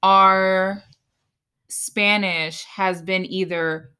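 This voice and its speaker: A woman's voice: medium pitch, steady tone, extremely slow, sharp tone, clean tone. A woman from Puerto Rico with a Puerto Rican accent, co-hosting a podcast in casual chat, mixing in Spanish and laughing often. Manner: chatty, laughs often, mixes Spanish into English. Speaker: podcast co-host.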